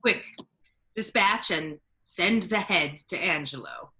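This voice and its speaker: Woman as a scheming duke dressed as a friar, lowish for a woman, bright n forceful, stagey shakespeare verse. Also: animated, takes it slowly, full character voice. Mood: commanding. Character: scheming duke disguised as a holy friar, male, authoritative.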